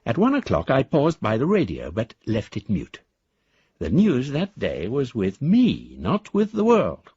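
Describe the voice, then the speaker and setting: A man's voice: medium pitch, a little mellow, slightly rough, no room sound, highs cut off, full character voice. An actor playing an eccentric, grandiose amateur philosopher, reading his letter in pompous, florid phrases for a radio drama.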